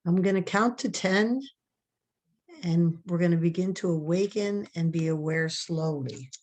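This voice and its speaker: A woman leading a guided relaxation meditation, giving soft, calm, drawn-out breathing instructions. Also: lowish voice.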